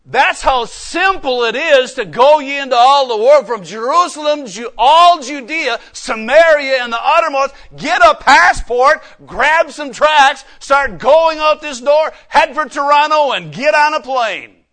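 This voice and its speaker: A man delivers a sermon in a high, forceful voice. He is folksy and emphatic, moving between personal storytelling and pointed questions to his listeners. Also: lively intonation, unhurried, hardly any pauses. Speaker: preacher and missionary.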